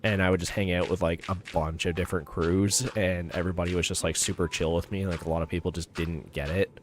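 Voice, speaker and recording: Low-voiced, slightly raspy man rambling. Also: little pitch movement, quick pace, a little hiss or hum. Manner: rambling, heavy use of "like" fillers. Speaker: streamer. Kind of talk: casual chat over gameplay.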